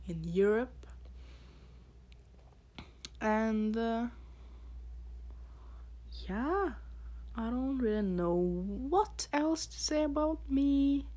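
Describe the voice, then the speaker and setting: A young woman from Norway with a Norwegian accent, hosting a casual podcast, rambling in a halting way with fillers and soft laughs. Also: mid-range voice. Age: teens or young adult. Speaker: podcast host.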